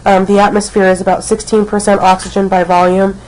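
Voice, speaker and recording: A woman's voice: medium pitch, flat delivery, brisk, darkish tone, rough recording. A woman teacher recording a casual biology lecture, snuffly from a cold, with many ums as she talks. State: has a cold, snuffly and coughing. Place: at home, not at school.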